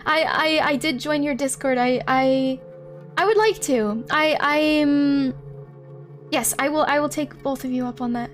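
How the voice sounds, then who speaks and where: A giggly, expressive woman hosting a casual livestream, chatting with viewers and reading a story aloud. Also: high-pitched, unhurried. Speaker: livestream host. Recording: music playing behind the voice. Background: background music.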